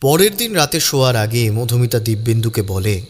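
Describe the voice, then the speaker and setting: A man's voice: medium pitch, very animated, a little fast, slight background noise. A man narrating a scripted story in a dramatic audiobook style. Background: background music.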